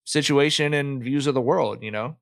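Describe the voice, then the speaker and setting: A man hosting a podcast in a casual conversational style, informal and thinking out loud as he talks. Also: mid-range voice, normal speed, creaky, no room sound, clean studio sound. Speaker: podcast host.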